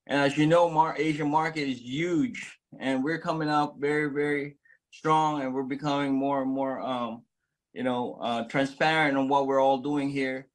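A man of about forty from the Philippines gives an earnest, excited personal testimonial straight to a phone camera, often restarting his sentences. He is recorded close up in a small indoor room. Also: mid-range voice, normal speed, poor audio. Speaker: network marketing member sharing his story. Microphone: phone camera at close range.

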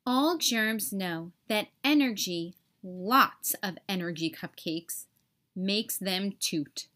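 A woman reading a children's storybook aloud in an expressive, deliberate way with dramatic emphasis. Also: medium pitch.

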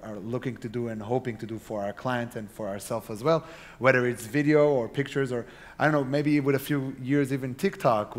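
A man moderating a conference panel, earnest and emphatic with some repeated words, on a large hall stage with a handheld mic. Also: mid-range voice. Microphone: handheld microphone.

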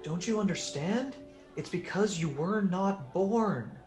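A man playing a gentle, very old angel in a radio drama, earnest, innocent and fussily polite. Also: high voice, expressive, slow, reverberant, soft background music. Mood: solemn.

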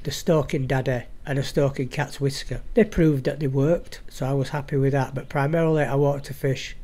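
A man's voice: medium pitch, steady tone, fairly quick, warm tone. A man giving a chatty, matter-of-fact fishing recap outdoors by a lake, recorded on a head-mounted action camera. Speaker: fly fishing vlogger. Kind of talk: casual fishing commentary. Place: outdoors at a stillwater lake bank.

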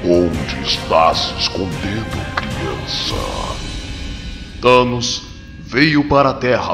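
Brazilian male narrator for a comic: low voice, animated pitch, reverberant. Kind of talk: dramatic scripted comic narration. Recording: long reverb, music behind. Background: music.

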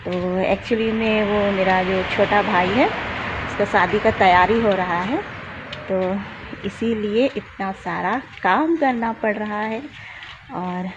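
A young woman from Jharkhand, India, vlogging her chores in a village yard, talking casually into a phone held at arm's length. She sounds tired from a day of physical work. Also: medium pitch. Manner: chatty, showing chores while walking and working. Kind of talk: casual vlog narration to camera. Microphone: phone camera at arm's length. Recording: dull lo-fi phone audio with clear outdoor noise.

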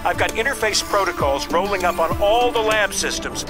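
Harried game scientist: high-pitched, urgent, talkative mission guide, loud music underneath. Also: male voice, expressive, moderate pace. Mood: baffled, urgent. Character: harried, urgent male scientist guiding a soldier. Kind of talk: video game dialogue over radio.

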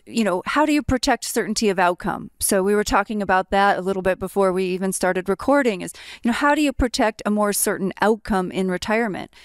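A woman in her fifties, a retirement planning guest, explaining her approach in a measured, methodical way. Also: medium pitch, clear, resonant tone, no echo, studio quality. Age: 50s. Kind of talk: explanatory interview talk. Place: hotel suite set with armchairs. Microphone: boom-arm podcast mic.

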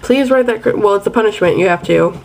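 A woman's voice: mid-range voice, fast talker, darkish tone. A young woman chatting casually and playfully to her webcam in a bedroom at night. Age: young adult. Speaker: vlogger. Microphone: webcam at close range.

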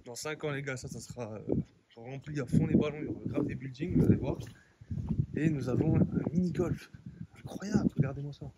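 Excitable slangy man vlogging on handheld camera, noisy background. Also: mid-range voice, brisk. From Algeria. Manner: slangy, excitable, talks to viewers as "les gars". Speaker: travel vlogger. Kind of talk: casual travel vlog to camera. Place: outdoors and indoors on a road trip, hotel villa and restaurant. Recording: loud background noise. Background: loud ambient noise and vehicles.

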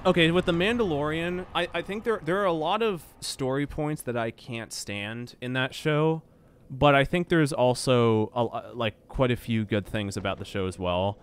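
A man's voice: mid-range voice, moderate pace, smooth. A young man chatting casually while playing a game, rambling, stammering over his words and swearing when something annoys him. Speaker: gameplay streamer. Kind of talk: casual chat while gaming.

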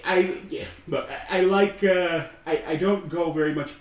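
A man with a somewhat high, nasal voice, chatting as a podcast guest. He laughs often and talks himself down, with loose, rambling restarts. The sound is echoey, as if recorded in a bare room. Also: steady tone, normal speed. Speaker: guest, radio news reader and comic writer. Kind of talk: casual podcast interview.

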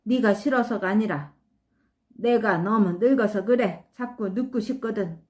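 A woman voicing an old, tired male pet dog in a storybook reading, speaking gently and slowly. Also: mid-range voice, lightly acted. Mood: gentle.

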